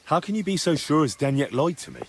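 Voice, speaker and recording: Earnest young man played by an actor, lively intonation. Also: a little high, a little fast, natural voice. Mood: inquisitive. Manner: direct, questioning, reassuring yet pressing. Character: earnest, probing young medieval male investigator. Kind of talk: naturalistic video game drama acting. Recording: clear background ambient noise.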